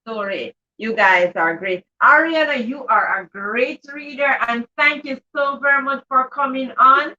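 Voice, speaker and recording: Woman, high bright voice, encouraging host, casual live call chat, muffled distant audio. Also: unhurried, few pauses. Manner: encouraging, praising. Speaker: host of children's online read-aloud session.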